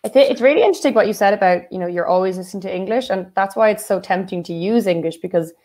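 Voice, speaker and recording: A woman's voice: mid-range voice, quick pace, darkish tone. An Irish woman, a writer and translator, talking as a guest in a casual interview and thinking aloud with many fillers. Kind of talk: casual conversation.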